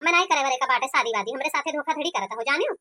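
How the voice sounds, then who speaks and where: Woman doing a whiny young groom, awadhi village cartoon, really high bright voice, always arguing. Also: expressive, racing. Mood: indignant. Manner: complaining, argumentative. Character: indignant young village groom, whining and stubborn. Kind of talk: cartoon comedy acting.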